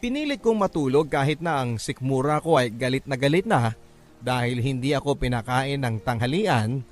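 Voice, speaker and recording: A man's voice: mid-range voice, lively intonation, moderate pace. Filipino male storyteller over music. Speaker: story narrator. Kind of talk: dramatic scripted first-person narration in classic radio drama manner. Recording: music under the voice. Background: background music.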